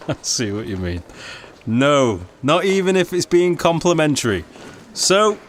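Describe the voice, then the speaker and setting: Radio drama: theatre-company founder, persuasive and conciliatory yet insistent, lively intonation. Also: male voice, fairly high pitch, unhurried, a little hiss or hum. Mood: agreeable. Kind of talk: audio drama acting.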